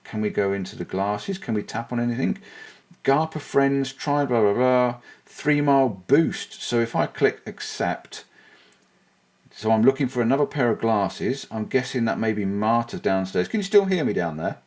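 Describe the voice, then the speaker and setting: A man's voice: medium pitch, lively intonation, moderate pace, darkish tone. A man casually demoing and testing gear for his vlog, thinking aloud as he goes. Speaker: vlogger reviewing gear. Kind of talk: casual product demo. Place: outdoors on a bike, then indoors.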